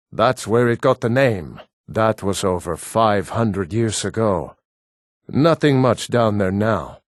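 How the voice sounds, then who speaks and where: A man voicing an earnest Nord townsman in a fantasy video game, speaking scripted lines in a measured, formal way. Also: on the low side, no echo, studio quality.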